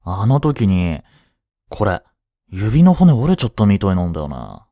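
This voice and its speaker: A man acting a cheeky, laid-back high-school slacker in a romantic audio drama, with casual, teasing, blunt slang. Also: big pitch swings, moderate pace, dark tone, no room sound, clean studio sound. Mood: teasing, pushy.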